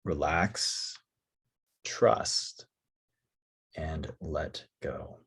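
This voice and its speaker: Slow, low, husky male meditation guide. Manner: calm, soothing, long pauses between short phrases. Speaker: yoga nidra teacher.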